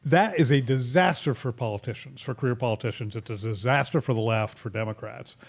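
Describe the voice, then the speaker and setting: A man's voice: mid-range voice, a little fast, vocal fry. Sarcastic man hosting a talk podcast. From Massachusetts. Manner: sarcastic, joking, with frequent restarts. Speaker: podcast host. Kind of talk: casual political chat.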